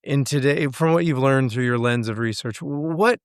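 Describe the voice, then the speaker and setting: A man's voice: medium pitch, clean tone, no echo, studio quality. A man hosting an interview, asking long questions with many restarts and eager interjections. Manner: halting, repeats words, enthusiastic interjections. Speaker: interview host.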